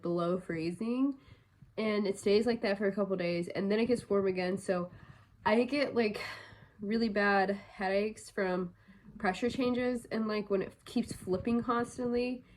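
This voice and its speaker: A woman's voice: medium pitch, a little mellow. A young woman vlogger chatting casually, a little congested and stuffy-nosed, rambling with lots of fillers. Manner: rambling, filler-heavy talk. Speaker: crochet vlogger. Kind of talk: casual vlog chat.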